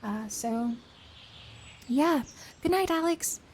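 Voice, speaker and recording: A young woman whose voice is worn out and hoarse after a long reading session. She talks casually to her stream, rambling with fillers and little giggles. Her voice sits a bit high. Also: animated, takes it slowly, a little mellow, a little hiss or hum. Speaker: streamer reading a book aloud. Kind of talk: casual stream chat after a read-aloud.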